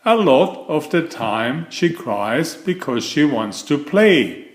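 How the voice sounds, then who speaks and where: An earnest young bear cub from a children's storybook, acted out by a man. He is a sensitive big brother who speaks emphatically, with big swings in pitch. The sound is roomy. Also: big pitch swings, takes it slowly. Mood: proud, friendly.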